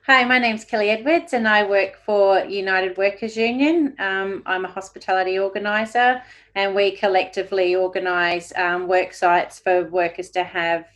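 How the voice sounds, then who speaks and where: A woman gives a short introduction as a union organiser on a panel. Her voice is of medium pitch. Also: fairly even intonation, moderate pace, a bit bright.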